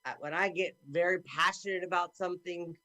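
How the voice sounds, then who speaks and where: A middle-aged woman hosting a talk show over a video call, with a deep, carrying voice, effusive and chatty. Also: fairly quick, no echo. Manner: effusive and warm, talks a lot. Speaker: host. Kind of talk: casual chat. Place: indoor room at home.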